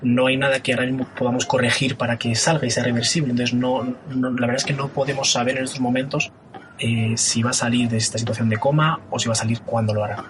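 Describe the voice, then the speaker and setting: An actor playing a calm, formal intensive care doctor who speaks in clinical terms. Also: male voice, mid-range voice, quick pace, close to an everyday voice. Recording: noisy recording.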